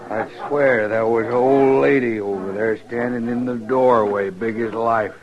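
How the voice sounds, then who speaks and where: A man's voice: mid-range voice, steady tone, unhurried, lightly acted. A man playing a folksy, easily alarmed frontier townsman with a rural American drawl in old-time radio drama. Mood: dismayed. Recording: muffled old radio recording.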